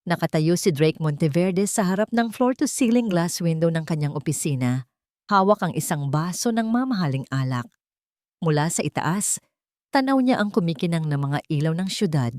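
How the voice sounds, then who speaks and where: A woman's voice: on the low side, lively intonation, normal speed, a little mellow, no room sound, clean studio sound. A woman narrating a scripted romantic drama as a storyteller, in a dramatic style.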